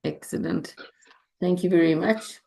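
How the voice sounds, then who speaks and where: A woman, hoarse from a cold, delivers an online medical lecture. She explains at a measured, teaching pace and pauses with frequent ums. Her voice comes through a computer microphone on a video call. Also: on the low side, dark tone. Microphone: computer mic on a video call.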